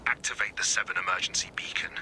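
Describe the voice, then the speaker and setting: A weary, grave resistance leader from a video game. His voice sits very low and often drops to a breathy near-whisper. Each line is measured, solemn and urgent. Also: steady tone, pushed and bright, poor audio. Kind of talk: video game mission dialogue.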